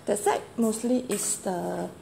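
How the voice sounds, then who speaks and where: A middle-aged Singaporean woman chatting casually for a home vlog in a halting, rambling way. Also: mid-range voice, creaky, dark tone. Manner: halting, rambling, repeats okay. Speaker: home vlogger. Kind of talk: casual unscripted vlog chat. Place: bare-walled flat and semi-open balcony corridor. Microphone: handheld or propped phone or tablet, varying distance. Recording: noisy, echoey amateur home recording.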